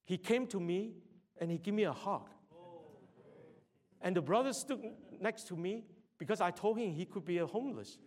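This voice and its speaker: Man with a fairly high voice, halting testimony. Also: animated. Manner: halting, repeats words, rises to loud exhortation. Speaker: church brother giving a testimony. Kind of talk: sermon testimony.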